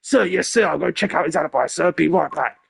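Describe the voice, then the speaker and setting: A man's voice: fairly high pitch, quick pace, creaky, husky, no room sound, pitched above the performer's own voice, full character voice. A man voicing an eager, anxious police detective in a video game, deferential and earnest. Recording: voice over game music.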